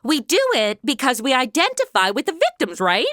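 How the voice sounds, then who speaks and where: A woman's voice: sits high, huge pitch swings, brisk, a bit bright, no room sound, clean studio sound, full character voice. A woman playing a brash, bossy, sarcastic modern young woman, blunt and slangy, in a comedy radio drama. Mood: eager, matter-of-fact.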